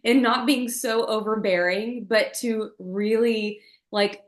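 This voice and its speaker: A woman who coaches voice and charisma, speaking as a podcast guest with an expressive delivery that swings her pitch and loudness for emphasis. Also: slow. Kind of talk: podcast interview. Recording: roomy echo.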